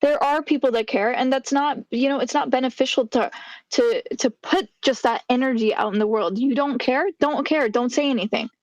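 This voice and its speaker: A woman's voice: sits high, normal speed, dry recording. A woman speaking outspokenly in a casual group livestream chat, calling people out. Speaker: livestream co-host.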